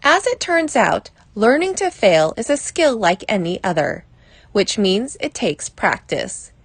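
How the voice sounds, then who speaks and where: Lively-pitched woman reading, slightly husky. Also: a little slow, dry recording. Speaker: narrator reading an article aloud. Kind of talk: scripted narration of a personal advice essay.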